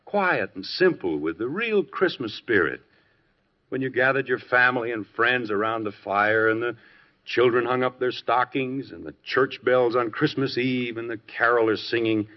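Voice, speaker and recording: A man's voice: medium pitch, normal speed, lightly acted. An American actor playing a wry, nostalgic middle-aged husband and father in a 1950s radio sitcom. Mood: wistful, exasperated. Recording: muffled lo-fi 1950s radio recording.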